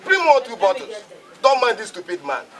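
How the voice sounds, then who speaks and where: An actor playing a middle-aged, stubborn and proud village friend who needles and teases, with a Nigerian accent, in a comedy film. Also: male voice, very high voice, very animated, brisk, a bit bright, some chatter behind, exaggerated voice, poor audio. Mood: defiant, proud. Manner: taunting, emphatic.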